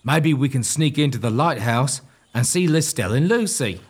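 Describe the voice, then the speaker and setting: Guy playing confident young schemer, smooth talker, normal pitch, gothic comedy audio play. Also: animated, normal speed. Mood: sly, commanding. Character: scheming, confident young suitor plotting a disguise.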